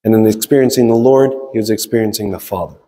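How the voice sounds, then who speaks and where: A man's voice: fairly low pitch, fast talker, dark tone, slight background noise. A man preaching a sermon in a conversational, explanatory way, often checking in with listeners with short tags. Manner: explanatory, frequent checking tags like "right?" and "okay?". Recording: long reverb of a large hall.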